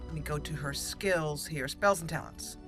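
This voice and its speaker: A woman's voice: deep voice, moderate pace. A woman giving casual, chatty roleplay commentary on a video game, playfully thinking aloud. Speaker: gaming video host. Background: game music.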